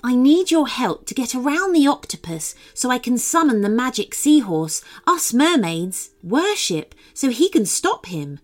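A woman voices a magical mermaid from a children's storybook. She speaks high and expressively, with earnest urgency, as one pleading for help.

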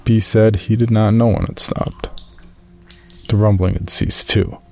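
Man acting as weary caver, deep gravelly voice, mellow delivery, uneasy horror journal narration. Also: steady tone, measured pace. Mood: measured, uneasy. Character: weary male amateur caver recounting his journal, uneasy. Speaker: narrator of an audio horror story. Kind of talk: first-person horror story narration, read as a journal.

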